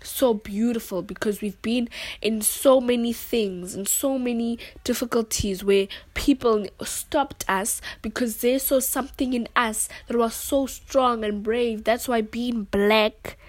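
A woman's voice: on the high side, unhurried, no room sound. A young woman from South Africa telling her personal story to the camera in an earnest, rambling way, often checking in with her listener. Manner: earnest, rambling, with frequent "you know what I'm saying" asides. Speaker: vlogger.

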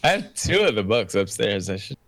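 A man co-hosting a podcast in animated casual chat, swearing freely. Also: on the low side, fairly quick, gravelly, slight background noise. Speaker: podcast co-host.

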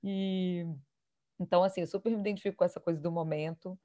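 A woman's voice: on the low side, fairly even intonation, quick pace, mellow. A woman with a Brazilian accent interviewing someone in a casual, conversational way.

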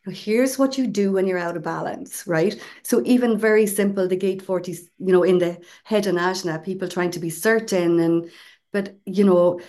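A woman's voice: lowish voice, moderate pace, warm tone. A woman co-hosting a podcast, explaining ideas in a conversational casual chat with asides. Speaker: podcast co-host.

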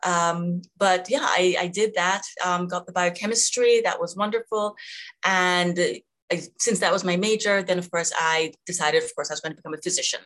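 Low-voiced Jamaican-born woman, thoughtful. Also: steady tone, moderate pace, fairly bright tone. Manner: thoughtful, many fillers and restarts. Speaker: interview guest, physician. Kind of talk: conversational interview.

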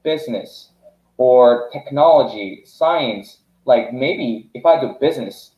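Halting young founder from South Korea. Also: male voice, medium pitch, expressive, roomy sound, phone-quality sound. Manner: halting, many restarts and fillers. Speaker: startup founder, podcast guest. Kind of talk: casual podcast interview.

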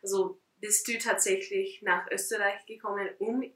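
A woman's voice: medium pitch, steady tone, moderate pace, fairly bright tone. A woman from the United States whose first language is English, co-hosting a podcast in casual, chatty conversation. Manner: chatty, asks long questions. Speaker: podcast co-host. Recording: roomy, distant from the mic.

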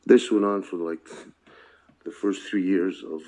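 An older man from Palestine who moved to the US as a child, speaking earnestly and reflectively in a personal oral history interview, with deliberate phrasing and emphatic repetition. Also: low-pitched, fairly even intonation, dark tone, poor audio. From born in Palestine, moved to the US as a child.

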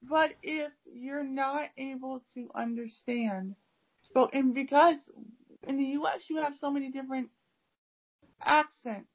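A woman's voice: high-pitched, steady tone, a little slow. A woman, an English teacher, chatting casually and rambling with many fillers and restarts. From United States. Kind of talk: casual conversation. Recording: dull lo-fi audio.

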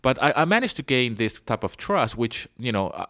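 A man hosts a solo podcast in a relaxed, rambling style. He often turns to the listener to check they are following. His voice sits at an ordinary middle pitch for a man. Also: normal speed. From Venezuela. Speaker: podcast host. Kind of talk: casual solo podcast storytelling.

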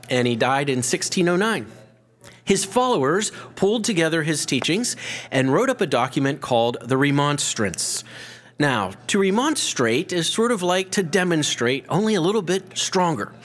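A man's voice: fairly high pitch, fairly bright tone. A man hosting an educational history programme, explaining in a prepared but conversational way with small clarifying asides. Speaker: host, church historian.

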